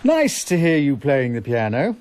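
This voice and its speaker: An actor playing a fussing, practical middle-aged husband and father in a radio drama, brisk and chiding. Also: medium pitch, wildly swooping pitch, darkish tone.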